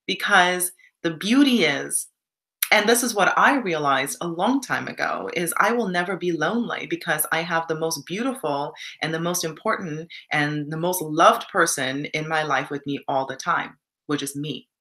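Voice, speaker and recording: A woman's voice: low-pitched, normal speed, pushed and bright. A young Canadian woman in casual live stream chat, reflective and encouraging, reading viewer comments aloud.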